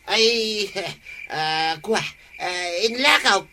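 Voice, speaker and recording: A sheepish duck thief in a radio comedy, with an Ilocano accent. His voice is sharp and forward, a little high, and he hedges and pleads through his excuses. The track carries a faint layer of noise. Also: expressive, drawn-out words, exaggerated voice. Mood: flustered. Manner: hesitant, pleading, excuse-making. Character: sheepish male petty thief caught stealing ducks, making excuses. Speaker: radio drama actor.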